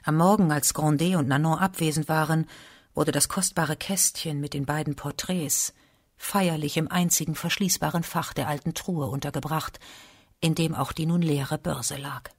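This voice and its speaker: Creaky-voiced woman narrating a literary drama. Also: low-pitched, no echo, clean studio sound.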